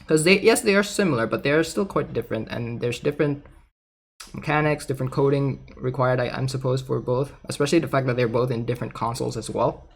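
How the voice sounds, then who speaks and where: A man's voice: medium pitch, quick pace, creaky, slightly rough. A man casually reading aloud and commenting in an informal, chatty way, like a gaming content creator. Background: background music.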